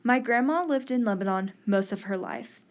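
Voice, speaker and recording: A woman's voice: on the high side, animated, moderate pace. A young woman from Texas hosting a podcast, giving an earnest scripted narration. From Texas, USA. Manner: earnest, read-aloud delivery. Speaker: podcast host.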